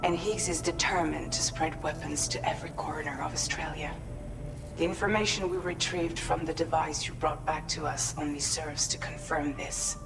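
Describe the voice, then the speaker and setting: Music plays prominently behind a composed woman operations officer in a video game. Her voice sits a little low, and she delivers briefings in a measured, businesslike, reassuring way. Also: steady tone, gravelly. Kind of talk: video game cutscene acting.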